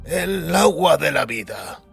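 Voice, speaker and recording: A husky old priest, higher pitched, creaky, weary and ceremonious, slow savoring audio drama delivery. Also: male voice, expressive, faint music behind, heavily acted. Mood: savoring, instructive. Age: older. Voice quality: raspy, creaky.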